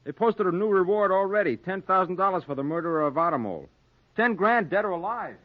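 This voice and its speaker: A man's voice: high-pitched, lively intonation, quick pace, a little hiss or hum. An American actor playing an eager, gossipy newsroom errand man in a 1940s radio drama. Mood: excited. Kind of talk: 1940s radio noir acting. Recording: muffled 1940s radio recording.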